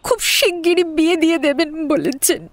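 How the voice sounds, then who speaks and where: A woman's voice: very high-pitched, normal speed, no echo. A woman playing an anxious, proper young college student in love, hesitant and pleading, in an audio story. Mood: tearful, despairing. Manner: hesitant, pleading, easily scandalised. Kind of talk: melodramatic audio story acting.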